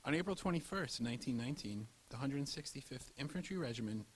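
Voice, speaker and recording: A male narrator delivers scripted history in a mid-pitched voice. His delivery is formal and measured, as in a documentary presentation. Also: expressive, slight background noise, rough recording.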